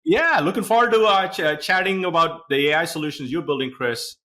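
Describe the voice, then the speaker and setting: A man's voice: fairly high pitch, a little fast, bright, forceful tone. A man from India hosting a podcast, chatty and rambling with many fillers as he introduces and questions his guest. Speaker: podcast host.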